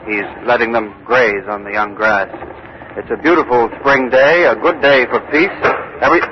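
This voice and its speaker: An actor playing an earnest live news correspondent in the style of 1940s radio drama, measured and dramatic with weighty pauses. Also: male voice, medium pitch, fairly even intonation, natural voice. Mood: solemn, hushed. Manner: measured, dramatic, hushed pauses between phrases. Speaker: reporter. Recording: noisy, muffled old radio broadcast.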